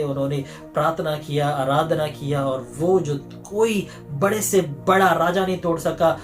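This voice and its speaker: A male pastor giving an earnest Bible teaching sermon, repeating phrases for emphasis. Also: sits high.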